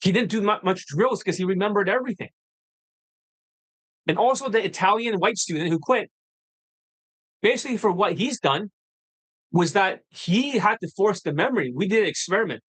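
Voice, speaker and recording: A man's voice: sits high, animated, moderate pace, long pauses, fairly bright tone, breathy edge, poor audio. A man coaching on dating in a livestream monologue, blunt and lecturing, swearing casually.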